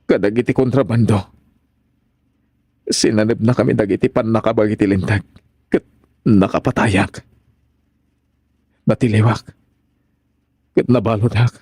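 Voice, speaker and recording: A man's voice: medium pitch, vocal fry, darkish tone, husky, no echo, studio quality. A man acting in a radio drama as a tender, remorseful middle-aged father back from prison, asking forgiveness.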